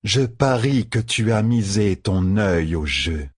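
Audiobook fantasy guard, a hard young woman, read by a man: husky, drawn-out, dry and scornful. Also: fairly low pitch, dry recording, heavily acted. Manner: slow, deliberate, dry deadpan. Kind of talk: audiobook fantasy character dialogue.

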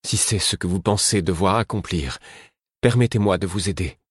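A man's voice: low-pitched, normal speed, breathy edge, no echo, clean studio sound. A man voicing a devoted, resolute queen in an audiobook, speaking earnestly and urgently.

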